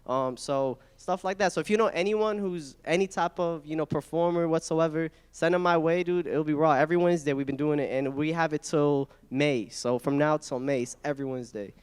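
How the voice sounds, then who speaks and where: A man's voice: fairly high pitch, a little fast, hardly any pauses, smooth. A young man from Iraq telling casual, slangy stories as a comedian guest, speaking into a handheld microphone on an indoor stage. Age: young adult. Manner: informal, slangy, animated storytelling. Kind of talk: casual spoken anecdotes before an audience. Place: indoor event hall stage, small live audience. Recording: echoey hall through handheld microphone.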